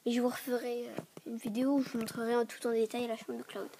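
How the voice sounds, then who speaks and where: A woman with a stuffy voice from a cold, rambling quickly through a casual show-and-tell vlog and often dropping to a whisper. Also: a little high, little pitch movement. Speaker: vlogger showing a toy dollhouse. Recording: clear background noise and clicks.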